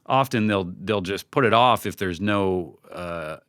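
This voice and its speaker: A man giving casual, conversational advice as a podcast guest, informal and halting, often acting out bits of imagined dialogue. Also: deep voice, a bit bright, clear, resonant tone, dry recording, clean studio sound. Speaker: podcast guest, creative team leader.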